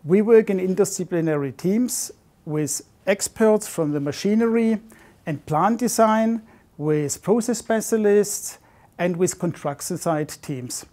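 A man in his fifties answering interview questions in a measured, slightly formal way, recorded on a lapel mic in an office. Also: sits high, warm tone. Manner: measured, slightly formal, non-native phrasing. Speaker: software development manager. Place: modern office.

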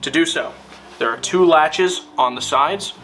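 Slow, bright-voiced male instructor. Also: on the high side, far from the mic, soft background music. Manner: deliberate, step-by-step, formal. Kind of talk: scripted instructional narration.

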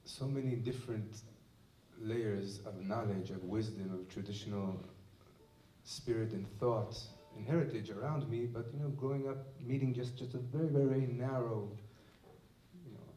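A man from Israel giving a thoughtful talk to a live audience at a museum evening, often pausing and restarting as he searches for words. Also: medium pitch, warm tone, faint music behind. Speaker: musician and lecturer. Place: museum event space with audience. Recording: echoey room heard from a distance.

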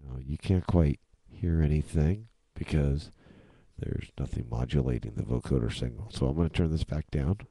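A man presenting an informal software walkthrough, playfully chanting and repeating words as he goes. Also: a bass voice, moderate pace, vocal fry, husky, dry recording. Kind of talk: informal screen-recorded walkthrough.

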